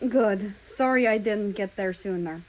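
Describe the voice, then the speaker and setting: A woman voicing a gruff, protective man in a romance story, speaking in a terse, earnest way. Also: mid-range voice, measured pace, a little mellow, lightly acted, poor audio. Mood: concerned.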